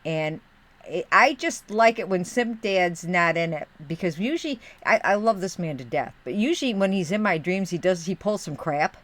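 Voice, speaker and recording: A woman's voice: lowish voice, animated, normal speed, a bit bright. A woman hosting a livestream in a homey mom persona, rambling and motherly as she answers her chat. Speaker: livestream host reading chat.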